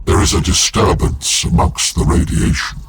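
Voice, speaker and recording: A man's voice: very noisy, natural voice. A man voicing a solemn entombed warrior-mystic. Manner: solemn, measured, formal. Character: ancient male warrior-psyker entombed in a war machine. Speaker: librarian, narrator. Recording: with loud game music behind.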